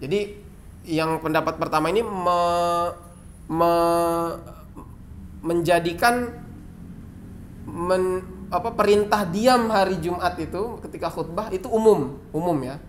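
Indonesian male teacher, slightly higher voice, patient and repetitive explanations, faint background hiss. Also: slow, pauses often. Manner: reads Arabic passages then explains in plain, repetitive phrasing. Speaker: Islamic jurisprudence teacher. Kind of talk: explaining and translating a classical Arabic text.

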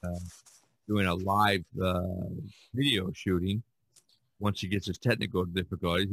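A man with a fairly low voice hosts a casual conversation. He rambles from one thought to the next, and the sound is dry and close, with little room in it. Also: a bit bright, no echo, rough recording. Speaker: podcast host. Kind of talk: casual chat.

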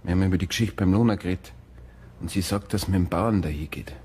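A man's voice: low voice, quick pace, darkish tone, slightly rough. An actor playing a gossipy, teasing small-town policeman with a Bavarian accent in a naturalistic radio drama. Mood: confiding.

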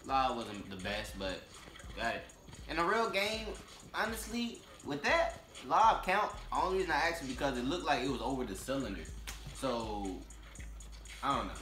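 A young man with an American accent giving casual, slangy, laid-back reaction commentary, thinking out loud. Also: medium pitch, big pitch swings, normal speed, slight background noise. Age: young adult. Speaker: reaction video host. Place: room with leather couch and large windows, indoors. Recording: roomy echo, music behind.

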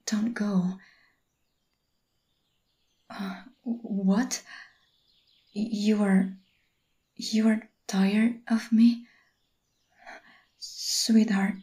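A clingy, obsessive young boyfriend, as a woman whispers him in an ASMR roleplay. His soft, breathy whisper is slow and mellow, and he stammers and pleads as he goes. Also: medium pitch.

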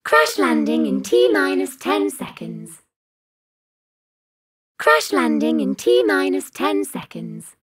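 Slow female spaceship computer acted by a woman. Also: huge pitch swings, exaggerated voice. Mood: calm, impersonal. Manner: measured, formal announcement delivery. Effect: pitch-shifted doubling and spacey reverb. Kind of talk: scripted sci-fi announcement.

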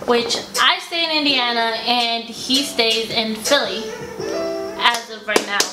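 A young American woman with a fairly high voice chats in a slangy, playful way. The room adds clear echo, and music runs behind her talk. She sounds like someone co-hosting a casual home vlog. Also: slow pace. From United States. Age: young adult. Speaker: vlogger, co-host. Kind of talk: casual chat to camera. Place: living room couch, indoors.

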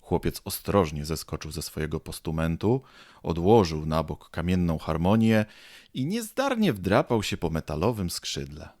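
A man's voice: low-pitched, very animated, normal speed, a little mellow, no echo, studio quality. A man narrating an audio drama as a storyteller, reading scripted prose. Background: faint music.

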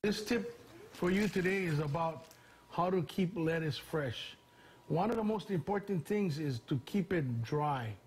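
A man in his sixties demonstrating a cooking tip in a home kitchen, in a relaxed, folksy way with many fillers. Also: a little high, a little slow, breathy edge. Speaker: chef.

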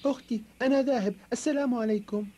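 A woman voicing a meek, obedient little boy in a children's cartoon, speaking gently and submissively. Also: a little high, fast, slight background noise, natural voice. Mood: meek, weary. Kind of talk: dubbed children's cartoon acting.

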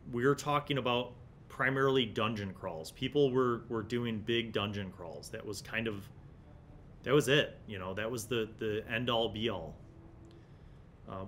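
A middle-aged man talks through a review in a casual, musing way. His voice sits at an average male pitch, and his phrasing stops and starts as he moves between commentary and reading aloud. He is recorded at a desk microphone with some low rumbling noise behind him. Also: fairly bright tone. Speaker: host of a tabletop gaming review series. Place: small indoor studio room. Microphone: desk microphone in front of him.

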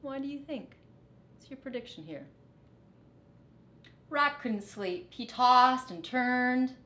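A middle-aged woman reads a picture book to children in a teacherly way. Her pitch moves expressively and her pace is measured. The sound is echoey, like a living room. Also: expressive, bright, forceful tone. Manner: teacherly, dramatic phrasing. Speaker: storybook reader. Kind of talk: expressive children's read-aloud.

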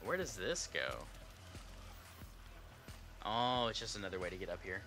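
A man's voice: lowish voice, big pitch swings, a little slow. A young man on a headset mic, casually commenting while he plays a game and jokingly mimicking its lines. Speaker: streamer. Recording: game music under voice. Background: game music.

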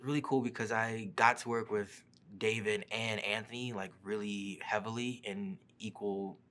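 A man's voice: fairly low pitch, moderate pace. A young man, an actor, answering interview questions casually with fillers and restarts, seated in a studio. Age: young adult. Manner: relaxed, many fillers and restarts. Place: studio seat before a printed backdrop.

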